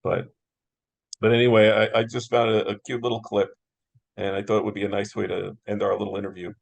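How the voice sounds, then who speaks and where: A man's voice: fairly low pitch, flat delivery, fairly quick, gravelly. A man moderating a casual interview in an affable, appreciative manner.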